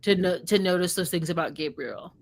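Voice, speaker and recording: A woman's voice: fairly low pitch, brisk. A woman in a casual book discussion, talking conversationally with lots of "like" and "you know".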